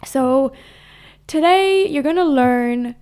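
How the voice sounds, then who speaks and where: Female podcast co-host, high voice, casual and teasing, asks the questions, recorded in a kitchen. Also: animated, slow pace, a little mellow. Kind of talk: casual chat. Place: home kitchen.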